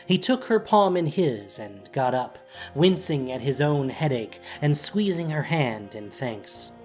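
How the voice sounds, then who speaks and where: A narrator with a husky, breathy voice reads a dramatic story in measured, solemn, deliberate phrases. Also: mid-range voice, big pitch swings, a little mellow, faint music behind.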